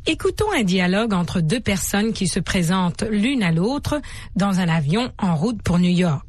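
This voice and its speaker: A woman presenting a scripted bilingual radio language lesson, enunciating examples deliberately in a teacherly way. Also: medium pitch, big pitch swings, a little mellow. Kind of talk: scripted bilingual English lesson for radio, with repeat-after-me drills. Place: radio studio.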